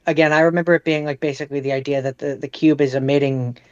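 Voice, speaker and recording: Male co-host, somewhat high voice, casual and persistent debater, close dry sound with slightly muffled top. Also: fairly even intonation, fast, smooth, no room sound. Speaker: podcast co-host. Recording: dry, slightly dull audio.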